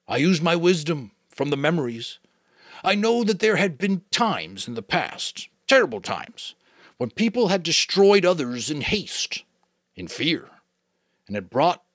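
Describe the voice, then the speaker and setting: A man voicing an old male keeper of memories, weary and wise, speaking in a measured, rueful way. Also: a little high, big pitch swings, fairly bright tone, no echo, voice pushed up, heavily acted. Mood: grave.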